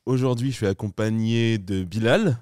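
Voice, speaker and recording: A man hosting a casual podcast, relaxed and friendly as he introduces guests and prompts them. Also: fairly low pitch, moderate pace, no room sound, studio quality. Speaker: podcast host.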